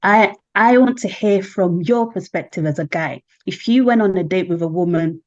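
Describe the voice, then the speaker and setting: A woman's voice: lowish voice, moderate pace, darkish tone, muffled recording. A woman hosting a casual interview, leading with questions and checking in with "right?" and "okay?". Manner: prompting, asks with "right?" and "okay?". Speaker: host.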